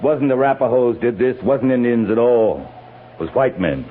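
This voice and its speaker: A man's voice: mid-range voice, lively intonation, moderate pace, close to an everyday voice. Old-time radio western captain male actor, commanding and curt, stern authority, narrow crackly sound. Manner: clipped, authoritative, gruff. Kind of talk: 1950s radio western acting. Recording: scratchy, narrow-band old radio recording.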